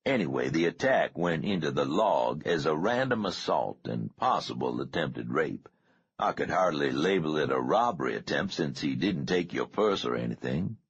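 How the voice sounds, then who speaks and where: An easygoing male investigator, voiced by a man in an audiobook, with a breathy, husky bass. He questions gently and reassuringly, with lively intonation and no hurry. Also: dull audio, heavily acted. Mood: matter-of-fact. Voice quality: very deep, husky. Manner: unhurried, probing, gently reassuring. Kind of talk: audiobook character dialogue.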